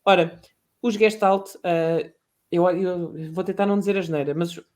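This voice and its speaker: A man with a European Portuguese accent, chatting as a podcast guest, passionate and halting with many restarts. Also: high-pitched, steady tone, a little mellow. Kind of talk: casual chat.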